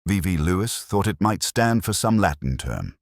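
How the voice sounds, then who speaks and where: A man narrating a scripted detective drama as a weary, reflective police inspector, in a brooding, measured, literary manner. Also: low-pitched, big pitch swings, no room sound, clean studio sound. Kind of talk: scripted first-person audio drama narration.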